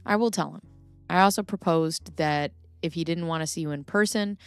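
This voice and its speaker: Low-voiced woman hosting a live stream. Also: moderate pace, clean tone, faint music behind. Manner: chatty, reacts aloud and answers viewers by name. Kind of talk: live stream commentary, reading messages aloud.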